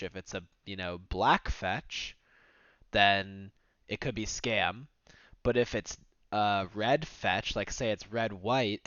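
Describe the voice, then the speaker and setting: A man hosting a game review, talking through choices in a relaxed, explanatory way with frequent fillers. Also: lowish voice, no echo, highs cut off. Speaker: host reviewing submitted games. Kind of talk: casual game analysis commentary.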